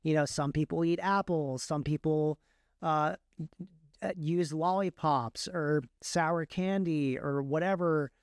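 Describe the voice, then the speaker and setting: A man's voice: fairly high pitch, slow pace, clean tone, no echo, studio quality. A man explaining things as an interview guest, in detail and with emphasis, often backtracking to qualify his points. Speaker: guest, indie author and audiobook narrator.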